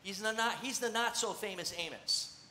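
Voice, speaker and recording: A middle-aged man preaching a casual, conversational sermon from a church stage, animated and gesturing as he teaches. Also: sits high, moderate pace. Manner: animated, conversational, gesturing while seated on a stool. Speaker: pastor. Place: church stage, large room. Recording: echoey church room, faint noise.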